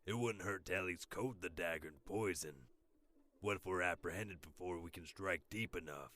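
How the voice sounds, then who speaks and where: A man's voice: low voice, moderate pace, bright, forceful tone. An actor playing a hesitant, worried assassin past his prime in an audio drama. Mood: uneasy.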